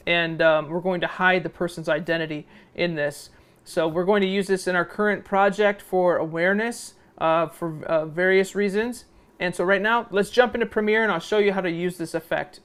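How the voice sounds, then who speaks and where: A man's voice: sits high, a bit bright, clear, resonant tone. A man narrating an informal video editing tutorial, explaining step by step and often checking in with a short questioning tag. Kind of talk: software tutorial screencast narration.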